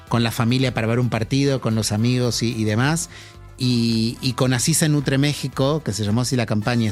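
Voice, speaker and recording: A middle-aged Argentine man talks through his answers in a podcast interview. He often restarts and fills his pauses. His voice sits in a middle pitch, with faint music behind him. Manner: thoughtful, many fillers and restarts. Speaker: marketing executive guest.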